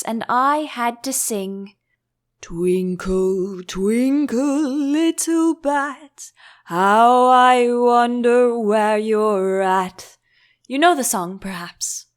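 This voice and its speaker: A woman's voice: on the high side, takes it slowly, smooth. A woman voicing an eccentric male hatter, theatrical and emphatic, by turns contemptuous and mournful, in a storybook reading. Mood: singing.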